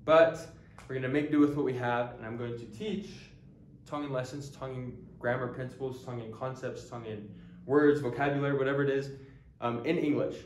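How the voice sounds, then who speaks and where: A young man in his early twenties speaks earnestly, in a middle-pitched voice, pausing often on ums and restarting his sentences. He talks casually to the camera like a teacher introducing his course. The room around him is echoey. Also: fairly even intonation, a little hiss or hum. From United States. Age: early 20s. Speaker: language teacher. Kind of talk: casual talking-head introduction.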